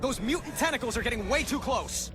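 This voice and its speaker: A man's voice: very high-pitched, animated, brisk, very noisy. An actor playing a wisecracking, sarcastic young superhero man in a video game, quippy and urgent. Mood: urgent, exasperated.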